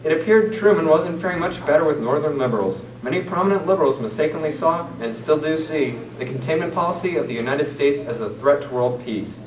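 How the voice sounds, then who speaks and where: A man's voice: on the high side, fairly quick, hardly any pauses. Teen boy reading mock newsreel. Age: teens. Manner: formal, steady reading from a script. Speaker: student newsreader. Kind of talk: mock 1940s newscast read from paper. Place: classroom, seated at a table. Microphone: far camera mic. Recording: roomy, distant camera audio with faint hiss.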